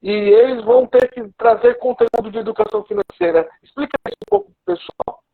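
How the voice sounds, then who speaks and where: A man with a high, gravelly voice hosts a live online talk and asks his guest questions in an easy, chatty way. His audio comes through a thin, phone-like video call line. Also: fairly quick. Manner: relaxed, conversational questions. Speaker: host.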